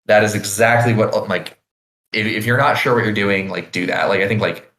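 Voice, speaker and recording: Deep-voiced male podcast host, roomy sound. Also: moderate pace, fairly bright tone. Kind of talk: casual podcast discussion.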